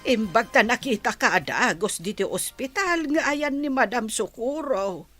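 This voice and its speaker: A woman's voice: on the high side, expressive, measured pace, fairly bright tone, faint music behind. A woman playing a worried, apologetic elderly grandmother in a radio drama.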